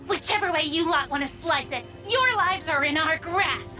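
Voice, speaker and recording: Gloating, high-pitched villainess. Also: female voice, moderate pace. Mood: cold menace. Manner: taunting, theatrical. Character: gloating, sadistic female villain, menacing and grandiose. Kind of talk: dramatic video game acting. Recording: loud music behind the voice.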